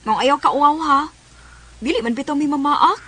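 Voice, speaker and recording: A very high-voiced young Filipina sweetheart, acted by a woman in a radio drama. She is affectionate, expressive and quick to tease. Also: natural voice. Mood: teasing. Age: young adult. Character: affectionate young woman, excitable girlfriend and daughter. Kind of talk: radio drama acting in Cebuano.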